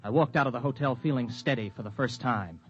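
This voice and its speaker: An actor playing a skeptical, independent space pilot in a 1950s radio drama, with a blunt, plain-spoken, hard-boiled delivery. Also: male voice, medium pitch, quick pace, soft background music, natural voice. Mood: reflective narration. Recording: dull lo-fi vintage radio recording.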